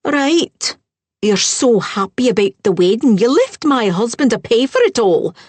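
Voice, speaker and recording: A woman voicing a scowling, haughty stepmother who speaks in sharp, accusing tones. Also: mid-range voice, very animated, a little slow, breathy edge, dry recording, voice pushed up. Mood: sneering.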